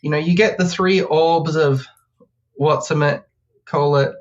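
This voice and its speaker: An Australian man chatting casually as a podcast guest, his voice a little hoarse from a cold. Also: fairly high pitch, fairly even intonation, a little slow, muffled recording. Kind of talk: casual chat.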